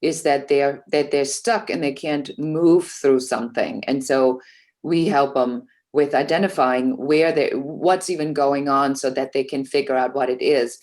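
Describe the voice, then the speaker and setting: A woman's voice: extremely low pitch, fairly even intonation, unhurried, a little mellow. A woman who is a business coach, talking as an interview guest in casual chat, fluent and confident with frequent "you know" fillers.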